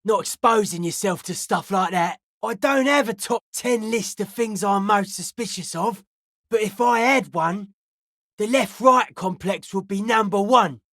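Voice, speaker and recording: A woman voicing a suspicious, aloof young hardcore raver in a video game, speaking in deadpan, clipped, cryptic pronouncements. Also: medium pitch, a little slow, no echo, studio quality. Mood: deadpan, wary. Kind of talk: video game character dialogue.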